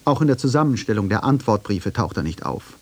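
A man's voice: fairly low pitch, sing-song, brisk, darkish tone, slightly rough. A man narrating a scripted radio documentary in dialogue form.

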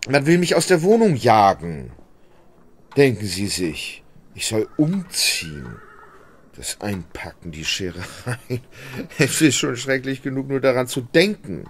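An actor playing an indolent, helpless and fretful gentleman, plaintive and hesitant, in a radio drama. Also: medium pitch, sing-song, measured pace.

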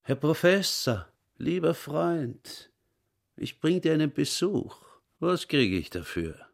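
A man's voice: fairly high pitch, very animated, slow, vocal fry, full character voice. An actor with a Viennese accent playing a burly, brutish retired policeman turned caretaker, crude and pompous in a radio drama. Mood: bossy, sly. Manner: pompous, coarse dialect bluster.

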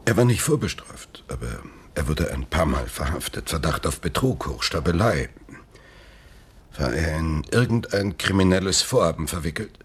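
Very low-voiced, formal police detective. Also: male voice, animated, moderate pace, husky, exaggerated voice. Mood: probing, formal. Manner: formal, matter-of-fact questioning. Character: actor posing as a stern police detective. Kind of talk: radio drama acting.

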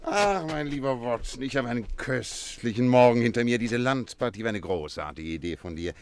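An actor playing a confident, analytical gentleman detective in a radio drama, speaking with precise, theatrical urbanity and playful superiority. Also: medium pitch, lively intonation, brisk, a little hiss or hum, lightly acted. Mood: cheerful, pleased.

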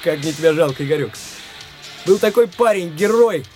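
An actor in a Russian radio drama playing a cheeky, sulky teenage younger brother who needles and mocks. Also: male voice, fairly high pitch, expressive, normal speed, warm tone, faint music behind, lightly acted. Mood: mocking. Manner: mocking, needling, slangy.

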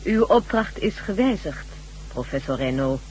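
A woman's voice: low voice, sing-song, unhurried, darkish tone. An actress playing a commanding, coolly hypnotic female doctor in a Dutch science-fiction radio drama. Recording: noisy, humming old radio recording.

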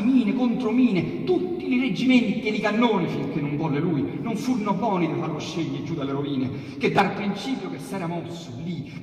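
A man with a Roman accent recites narrative verse as a theatrical storyteller, moving between hushed and urgent delivery with emphatic pauses. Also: a little high. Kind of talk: theatrical storytelling of dialect sonnets. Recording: echoey hall, distant mic, long reverb. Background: piano accompaniment.

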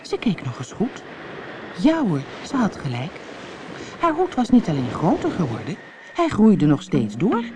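A woman reading a scripted children's story as an expressive storybook narrator. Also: lowish voice, a little slow, background noise. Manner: expressive, acts out dialogue.

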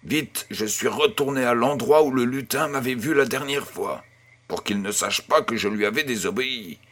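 A man playing a kindly grandfather who tells a bedtime tale in a measured, gentle, husky voice. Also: medium pitch, lightly acted. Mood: storytelling, wondering. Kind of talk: scripted audio storytelling.

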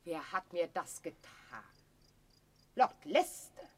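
An actress playing a haughty, suspicious reigning queen in a classical radio drama, with measured, imperious declamation. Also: fairly low pitch, big pitch swings, slightly rough, exaggerated voice. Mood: cold, displeased. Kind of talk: classical German stage drama radio play.

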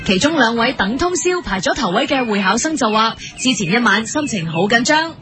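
A woman's voice: on the high side, big pitch swings, fairly quick, crowd noise. A woman playing an earnest news anchor, reading a scripted bulletin in Hong Kong Cantonese for a radio comedy. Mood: formal, earnest. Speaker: newsreader.

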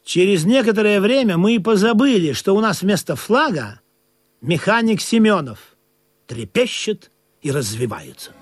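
A man playing a droll sailor who narrates a comic sea tale in an expressive, theatrical, husky voice. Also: sits high, normal speed, no echo. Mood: droll storytelling. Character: droll male sailor narrator, excitable and fawning among crew.

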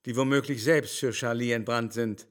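An actor playing an aging aristocratic baron in a radio drama, proud, indignant and theatrical. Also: male voice, medium pitch, fairly even intonation, normal speed, lightly acted. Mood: bitter, suspicious. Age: older.

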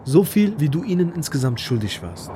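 An actor playing a brash, crude young buddy with cocky street slang, in a naturalistic film drama. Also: male voice, on the high side, sing-song, normal speed. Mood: cocky, brazen. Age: young adult. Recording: faintly noisy film location sound.

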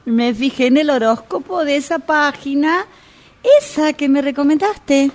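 An actress playing a gullible, resentful longtime client of a fortune teller in a radio drama, with an Argentine accent and a confiding, dramatic way of telling things. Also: female voice, high-pitched, a little mellow. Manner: confiding, dramatic storytelling with pauses.

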